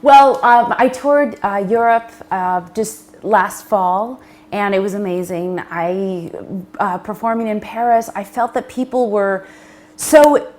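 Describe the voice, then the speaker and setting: Brief: enthusiastic woman singer guest from Toronto, medium pitch. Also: slow. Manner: animated, enthusiastic storyteller. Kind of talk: casual interview chat.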